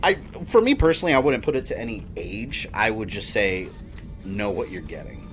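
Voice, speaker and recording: A man's voice: lowish voice, sing-song, a little fast, soft background music. Rambling man in his thirties, streaming. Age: 30s. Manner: rambling, chatty, with laughs. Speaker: game streamer. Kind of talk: casual chat while gaming.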